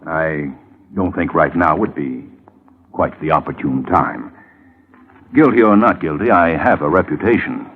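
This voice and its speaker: A proud, defensive man in an old radio drama. His deep, husky voice is formal and stiff, with indignant dignity. The sound is thin and phone-like, like a worn vintage broadcast. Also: expressive, measured pace, full character voice. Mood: stiffly defensive. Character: dignified, defensive man hiding a love affair. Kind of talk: 1950s radio drama acting. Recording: tinny, fuzzy vintage radio recording.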